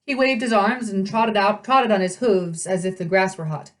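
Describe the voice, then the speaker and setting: A woman's voice: medium pitch, moderate pace. A woman narrating a storybook aloud in the first person, expressively, as a storyteller.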